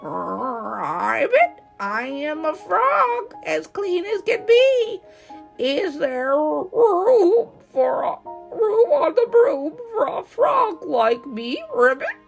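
An eager storybook frog, acted by a woman, polite and croaky. The lines bounce along in rhyme, swinging widely in pitch at an unhurried pace. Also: sing-song, soft background music, heavily acted.

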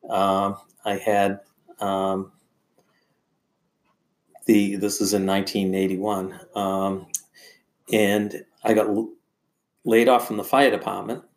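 An older man telling personal stories as a podcast guest, in a halting, rambling and earnest conversational way. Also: on the low side, flat delivery.

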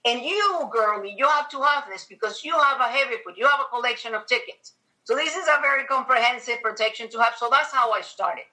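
A woman's voice: fairly high pitch, fairly quick, pushed and bright, low-quality recording. A middle-aged woman with a Venezuelan accent, a native Spanish speaker, chatting emphatically as an interview guest. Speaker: podcast guest. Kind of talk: conversational personal storytelling in an interview.

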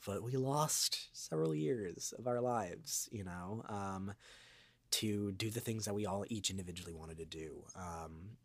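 A man speaking as a guest voice actor in a reflective interview, earnest and rambling, often halting as he finds his words. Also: lowish voice, no room sound.

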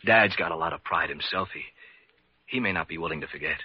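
An actor playing an earnest, remorseful young son in an old radio drama. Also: male voice, deep voice, quick pace, slight background noise, natural voice. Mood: hesitant. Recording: muffled vintage radio recording.

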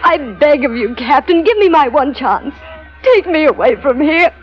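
Muffled vintage radio drama with music behind. a desperate, high-voiced wife, pleading melodramatically with a captain as though he were her last hope. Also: animated, normal speed. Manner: melodramatic, breathless begging. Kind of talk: old-time radio drama acting.

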